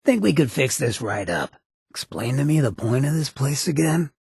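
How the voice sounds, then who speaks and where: An actor voicing a cocky, roguish, streetwise ghoul companion in a video game, with a very raspy, gravelly voice and a sly, laid-back swagger. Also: male voice, medium pitch, very animated, moderate pace, a little mellow, no room sound, clean studio sound, heavily acted. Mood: wry musing.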